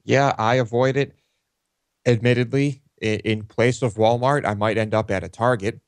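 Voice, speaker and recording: A man's voice: medium pitch, steady tone, moderate pace, dry recording, studio quality. A man co-hosting a talk show, chatting casually and hesitantly. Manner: hesitant, many fillers and restarts. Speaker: co-host. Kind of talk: casual chat.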